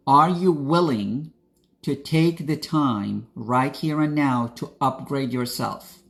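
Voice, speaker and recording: A young man from Iraq, a spiritual coach, talking straight to his viewers in an earnest, preachy motivational style. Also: a little high. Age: young adult. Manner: earnest, direct, preachy, addresses viewers as guys. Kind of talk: motivational talk to camera.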